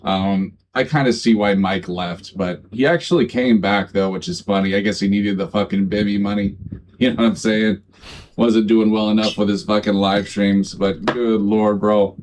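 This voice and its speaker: A man's voice: fairly low pitch, fairly even intonation, moderate pace, darkish tone, slight background noise. A man doing casual vlog commentary, swearing a lot and tacking "bro" and "dude" onto his lines. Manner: profane, slangy, keeps adding "bro" and "dude". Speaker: online video creator.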